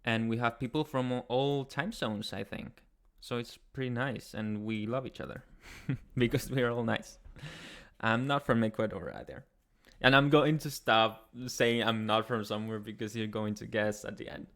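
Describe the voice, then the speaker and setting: A young man from Chile with a Chilean Spanish accent, hosting a casual livestream and answering chat in a soft, hesitant way with many pauses. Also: medium pitch, creaky. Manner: soft-spoken, hesitant, many ums and restarts. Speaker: ASMR channel host. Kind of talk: casual livestream chat, reading viewer comments.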